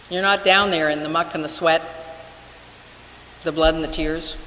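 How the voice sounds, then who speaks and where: An older woman preaching a sermon as a minister, measured and deliberate with emphatic pauses. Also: low voice, fairly even intonation, pushed and bright. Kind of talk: church sermon. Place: large wooden church sanctuary, at the pulpit. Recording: echoey church hall with hiss.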